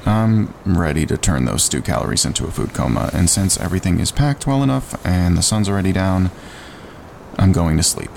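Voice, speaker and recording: An actor playing a calm, practical male wilderness hiker with a dryly teasing manner, in a naturalistic audio drama. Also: a little fast. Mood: calm, firm. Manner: level-headed, dryly teasing. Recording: clear outdoor ambience and rumble.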